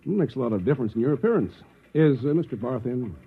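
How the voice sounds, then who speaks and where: An actor with an American accent playing an uneasy, puzzled married accountant in an old-time radio drama, speaking in a husky, hesitant way. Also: male voice, medium pitch, animated, quick pace. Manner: hesitant, brooding, questioning. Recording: muffled phone-like old radio recording.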